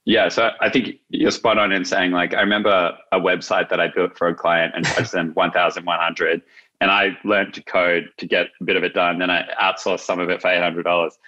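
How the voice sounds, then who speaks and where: A man's voice: sits low, little pitch movement, brisk, pushed and bright. A young adult man with an Australian accent, chatting casually and reflectively as an interview guest on a video call. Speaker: interview guest, marketing agency founder. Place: indoors, small office room, on a video call. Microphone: video call, no headset seen. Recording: slightly dull video-call audio.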